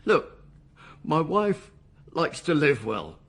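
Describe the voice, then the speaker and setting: A man's voice: on the high side, very animated, takes it slowly, a bit bright, slightly rough, exaggerated voice. A man acting in a radio drama as a desperate suspect under questioning, faltering and defensive as he protests. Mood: pleading, exasperated.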